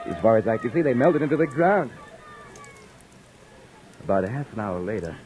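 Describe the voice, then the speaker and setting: An actor playing a weary beat cop who narrates and talks with dry wisecracks, in an old radio drama. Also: male voice, on the low side, sing-song, normal speed. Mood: narrating. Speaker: narrator and lead. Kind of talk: 1950s radio drama acting. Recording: old radio recording with faint hiss.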